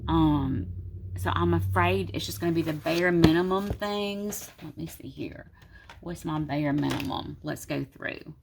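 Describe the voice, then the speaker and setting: Brief: sick woman vlogging from her home desk, low gravelly voice, congested and worn out. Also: unhurried, noisy background. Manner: tired, low-energy, rambling. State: sick, congested, sore throat. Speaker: planner vlogger. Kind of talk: casual vlog talk to viewers.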